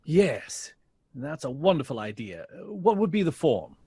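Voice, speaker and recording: Husky, animated, high-voiced bandleader. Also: male voice, a little slow, no room sound, full character voice. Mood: genial, excited. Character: genial, enthusiastic male jazz bandleader. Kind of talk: audio drama acting.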